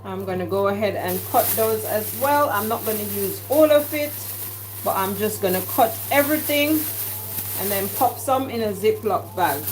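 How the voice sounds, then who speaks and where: A woman's voice: mid-range voice, expressive, normal speed, a little hiss or hum, rough recording. Jamaican woman chatting while she cooks. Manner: chatty, talks herself through each step. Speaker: home cook vlogger. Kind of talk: casual cooking vlog talk. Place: home kitchen. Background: clatter of dishes and cutlery.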